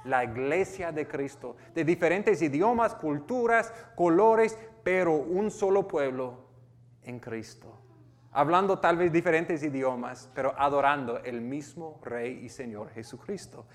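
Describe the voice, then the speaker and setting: A man's voice: a little high, expressive, unhurried. American man preaching in a reverberant hall. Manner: earnest, with restarts and self-corrections. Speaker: pastor. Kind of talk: sermon, reading and explaining scripture.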